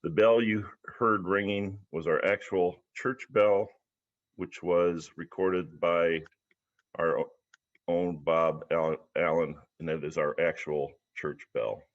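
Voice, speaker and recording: A man with a very low voice acts as host of a service. He reads out a formal welcome and announcements in a somewhat halting way. The sound is dull and lo-fi, as on a video call. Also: fairly even intonation.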